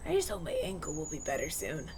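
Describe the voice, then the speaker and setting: Male actor as a young prince, courteous, nervous, stammering, very lively swooping intonation, audio roleplay. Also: on the high side, gravelly, slight background noise, heavily acted. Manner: stammering, hesitant, polite, animated. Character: polite, flustered young runaway human prince, injured and anxious. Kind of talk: ASMR audio roleplay, acted one-sided dialogue.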